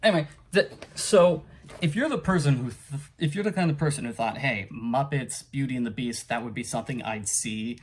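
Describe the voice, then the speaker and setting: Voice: medium pitch, fairly quick, a little hiss or hum. A casual book reviewer talking off the cuff in a rambling, hesitant way, often trailing off or chuckling.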